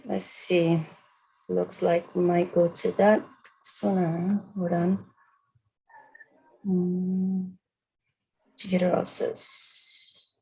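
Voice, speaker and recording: A woman hosts an online forum over a video call. She talks briskly and chats freely, thinking aloud as she sorts out the screen sharing. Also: fairly low pitch, little pitch movement, vocal fry, dark tone, breathy edge, poor audio. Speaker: forum host. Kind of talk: casual video-call moderating. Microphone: computer on a video call.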